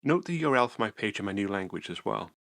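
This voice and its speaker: A man calmly narrating a software tutorial screencast, explaining each step methodically. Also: lowish voice, fast, vocal fry, no echo.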